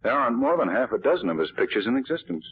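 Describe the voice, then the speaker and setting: A man's voice: big pitch swings, very fast, full character voice. An actor playing a cultured, thoughtful young man of wealth in a 1940s radio drama. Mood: thoughtful, explanatory. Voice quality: low, husky. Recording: muffled, phone-like 1940s radio recording.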